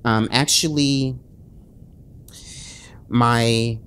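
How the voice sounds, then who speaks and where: A man's voice: medium pitch, fairly even intonation, fairly bright tone, smooth. A man talking casually to his viewers late at night, nervous and sleepless, stumbling over restarts and fillers. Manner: halting, many restarts and fillers; nervous, self-conscious. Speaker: author and channel host. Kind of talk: casual talk to camera.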